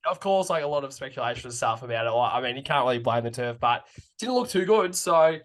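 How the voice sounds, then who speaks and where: A young Australian man co-hosting a casual football podcast, his voice a little croaky, relaxed and loose with plenty of fillers and the odd swear. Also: mid-range voice, fast. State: slightly croaky voice. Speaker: podcast co-host. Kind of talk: casual sports chat.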